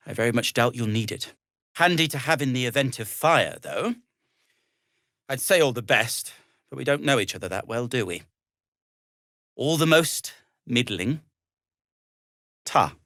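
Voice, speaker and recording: A man's voice: mid-range voice, fairly bright tone, no room sound, studio quality. A man playing a fussy, loyal, long-suffering middle-aged gnome in a video game, hesitant and stammering, with wry, formal wording. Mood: wry, polite. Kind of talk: video game character acting.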